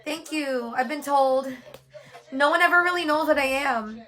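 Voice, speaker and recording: A woman's voice: high-pitched, moderate pace, sharp tone. A woman from New York hosting her own livestream, chatting casually and rambling a little with fillers. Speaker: livestream host. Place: home room with yellow lighting.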